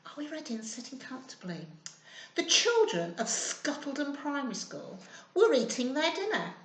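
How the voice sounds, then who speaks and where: Very animated high-voiced woman storyteller. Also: normal speed. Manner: animated, prompts young listeners with simple questions. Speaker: children's storyteller. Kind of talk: expressive picture-book reading for young children. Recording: roomy, echoing indoor sound.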